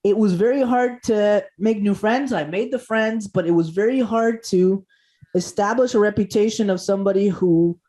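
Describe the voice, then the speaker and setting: A man's voice: high voice, darkish tone. A man from Costa Rica, a comedian guest on a podcast, telling stories with enthusiasm and jokes and laughing often. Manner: enthusiastic, jokey, laughs often, restarts words. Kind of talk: animated podcast storytelling.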